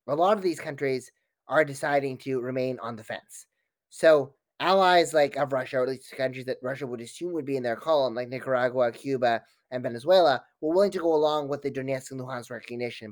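A man's voice: mid-range voice, no room sound. A man speaking as an expert guest in an interview, giving analytical, wordy answers with frequent false starts.

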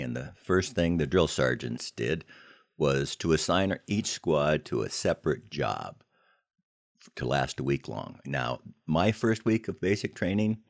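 An older American man telling personal stories from his past in a conversational, measured, plain-spoken way, with a gravelly voice. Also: extremely low pitch, a little mellow, dry recording, clean studio sound. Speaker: storyteller, army veteran. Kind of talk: personal reminiscence, podcast narration.

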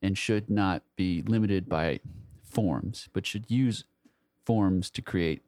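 A man with a fairly low voice, recorded close and dry. He talks casually about books as a co-host would, circling back over phrases as he hunts for the right word, with some loose profanity. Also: darkish tone, dry recording. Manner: halting, searching for words, repeating phrases. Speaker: podcast co-host. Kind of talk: casual literary discussion.

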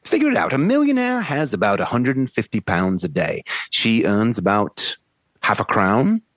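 A woman voicing a pompous male professor who barks orders and lectures grandly. The pitch leaps wildly between lines, and the recording is dry and close. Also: big pitch swings, moderate pace, no echo, heavily acted. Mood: commanding. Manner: imperious, brusque, theatrical. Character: arrogant upper-class male phonetics professor. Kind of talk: amateur play reading.

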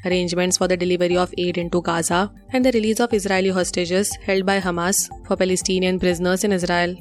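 A woman with an Indian accent presenting a scripted news bulletin. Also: on the low side. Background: music.

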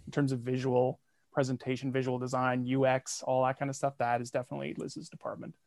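A man's voice: medium pitch, flat delivery, fast talker, gravelly, a little mellow, no echo, clean studio sound. A man guest on a podcast, chatting casually and in a jokey way. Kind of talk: casual interview chat.

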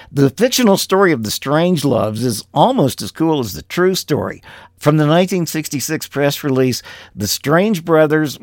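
Male music radio host, low-pitched narration. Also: dry recording, clean studio sound. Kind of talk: music history narration between songs.

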